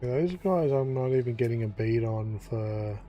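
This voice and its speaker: A man's voice: medium pitch, moderate pace, muffled recording, soft background music. A man, talking to himself casually as he plays a video game. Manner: chatty, talking to himself while playing. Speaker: gaming YouTuber. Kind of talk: casual gameplay commentary.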